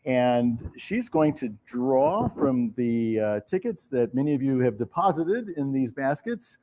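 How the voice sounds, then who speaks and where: A middle-aged or older man hosting a theater event, making announcements to an audience in a formal, gracious and halting manner. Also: medium pitch, very animated, warm tone. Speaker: theater host announcing a new season.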